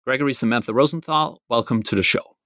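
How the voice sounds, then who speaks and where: Podcast host, man, measured scripted intro, dry booth sound. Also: medium pitch, fairly bright tone, dry recording. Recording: dry close-miked sound.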